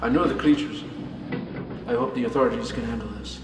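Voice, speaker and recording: An actor playing a troubled, urgent neighbour turned whistleblower in a radio play. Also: male voice, medium pitch, a little fast, background noise, natural voice. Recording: echoey, distant, reverberant, music over it.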